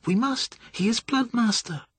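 A man voicing a timid, submissive female vampire in an audiobook, pleading in a breathy, urgent near whisper. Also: very high-pitched, measured pace, dry recording, voice pushed up, full character voice. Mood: hushed, insistent. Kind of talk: audiobook character acting.

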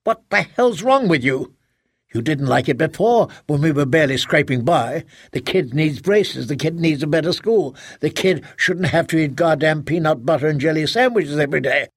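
A man's voice: fairly high pitch, expressive, normal speed, husky, no room sound, clean studio sound. A man playing a defensive, angry novelist husband. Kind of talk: audiobook character voice.

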